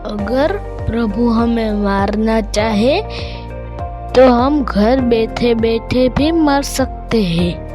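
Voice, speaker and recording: A woman voicing a brave, devout young village boy in an animated moral story, earnest and firm. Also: on the high side, extremely slow, over music. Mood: earnest, confident. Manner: earnest, preachy, defiant.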